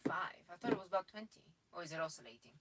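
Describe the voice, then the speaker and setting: A woman's voice: lowish voice, animated, very fast, distant mic, very noisy. A young woman from Bulgaria with a Bulgarian accent, chatting on a live stream with many restarts and laughs. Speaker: co-host. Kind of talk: casual live stream chat.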